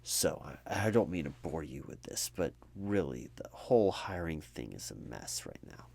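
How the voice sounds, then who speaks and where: A man doing a conversational ASMR roleplay as an apathetic, low-energy recruiter, rambling in a jaded, sardonic way. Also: big pitch swings, moderate pace, creaky, a little mellow, husky. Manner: rambling, sardonic asides mimicking corporate talk.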